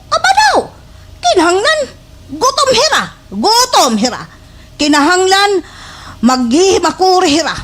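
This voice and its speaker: A woman's voice: extremely high pitch, exaggerated voice. A woman with a Waray accent playing an envious, scheming, easily enraged village gossip in a slapstick radio drama, with exaggerated, wailing delivery.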